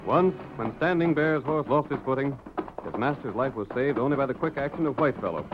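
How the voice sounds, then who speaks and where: A man's voice: mid-range voice, expressive, brisk, over music. A man narrating a radio western as announcer, in dramatic scripted 1930s style. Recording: muffled, band-limited 1930s radio recording.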